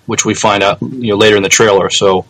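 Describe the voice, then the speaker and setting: A male co-host with a low voice chats casually on a podcast. He moves forward in hesitant, broken phrases, as if gathering his thoughts. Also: steady tone, fast, fairly bright tone, rough recording. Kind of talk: casual movie news chat.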